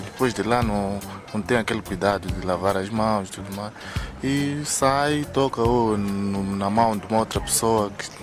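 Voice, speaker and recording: Low-voiced casual man. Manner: frequent tag questions. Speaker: interviewee. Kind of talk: casual spontaneous explanation. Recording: music behind the voice.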